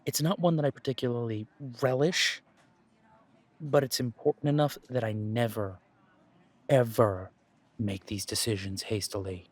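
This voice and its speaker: A man playing a steady werewolf pack leader. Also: mid-range voice, natural voice. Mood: firm, protective. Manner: earnest, measured, reassuring, with dry humour. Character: steady, protective male werewolf pack leader, wry and dutiful. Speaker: voice actor in audio roleplay. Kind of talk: scripted audio roleplay drama.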